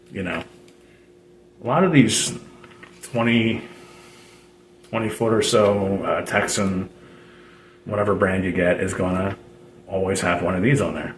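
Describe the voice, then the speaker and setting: Low-voiced man explaining a DIY fix, roomy sound. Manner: hesitant, rambling, repeats phrases. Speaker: DIY radio hobbyist presenting a tutorial. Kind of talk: unscripted casual how-to explanation. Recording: roomy echo with background noise.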